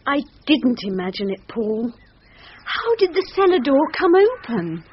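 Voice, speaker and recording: Dull, noisy radio drama sound carries an anxious wife. She is earnest and insistent, pleading her case in a high voice. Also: big pitch swings, a little slow. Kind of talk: thriller radio drama acting.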